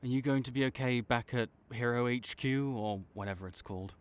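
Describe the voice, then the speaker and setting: A man playing a tsundere supervillain in an audio roleplay, halting and exasperated, teasing and reluctantly caring toward a rival. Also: medium pitch, clear, resonant tone, close to an everyday voice. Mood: sheepish, teasing. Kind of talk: intimate audio roleplay addressed to the listener.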